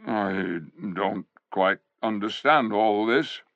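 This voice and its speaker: Man doing a slow grumbling bear, gravelly and puzzled, storybook animal. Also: fairly low pitch, a bit bright, dry recording. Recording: dull lo-fi recording.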